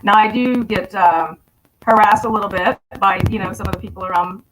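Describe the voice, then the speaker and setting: A woman's voice: medium pitch, steady tone, brisk, noisy background. A woman who runs a landscaping business, speaking frankly on a video call panel with some fillers. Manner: frank, plain-spoken, with fillers. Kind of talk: casual panel discussion.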